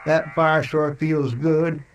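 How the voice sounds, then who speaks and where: A man's voice: fairly high pitch, little pitch movement, takes it slowly, a little hiss or hum. A man playing a folksy, deferential marshal's sidekick with an in a 1950s radio western. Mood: complaining, cozy. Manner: folksy, drawling, respectful.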